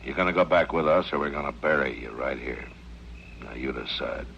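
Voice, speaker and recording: A man's voice: very deep voice, brisk, vocal fry, fairly bright tone, full character voice. An American man acting a stern, commanding frontier marshal, terse and authoritative, in an old-time radio western. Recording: muffled vintage radio drama, hissy.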